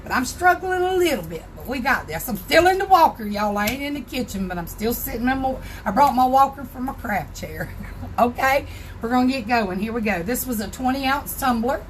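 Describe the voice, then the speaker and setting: A hoarse, raspy-voiced woman with a Southern US accent hosts a casual crafting live stream from her home craft room. She talks in a fairly high voice and greets her viewers by name as she goes. There is a faint hiss behind her. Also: lively intonation, fairly quick, low-quality recording. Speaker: crafting tutorial host.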